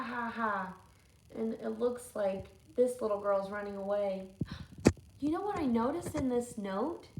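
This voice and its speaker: Unhurried woman reading aloud in an echoey room. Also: on the high side, creaky, warm tone. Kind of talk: storybook read-aloud with asides to children. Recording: echoey room with faint noise.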